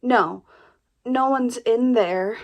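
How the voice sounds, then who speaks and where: An actress playing a frightened, guarded young sister in an audio play, speaking in a halting, distressed way. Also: female voice, a little high.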